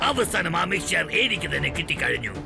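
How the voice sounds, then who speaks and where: A man's voice: a little high, sing-song, brisk, creaky, full character voice. A man voicing a boastful, greedy cartoon villain who gloats and cackles over his loot. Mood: gloating. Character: boastful greedy male villain, brick-throwing robber. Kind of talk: cartoon dubbing. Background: music.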